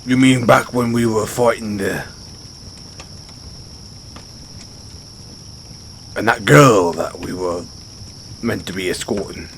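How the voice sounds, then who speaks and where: A man's voice: fairly low pitch, creaky, a bit bright, slight background noise. A man playing a big, brash orc barbarian in a fantasy audio roleplay, speaking colloquially straight to the listener. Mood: sheepish. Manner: colloquial, halting when defensive. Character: big, brash male orc barbarian, rambunctious but sheepish. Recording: nature ambience with crickets behind. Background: outdoor night ambience, crickets.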